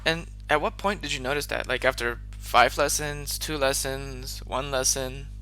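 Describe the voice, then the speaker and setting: A man's voice: medium pitch, normal speed, sharp tone, soft background music. A man who teaches English, asking his student interview questions in an upbeat, encouraging way.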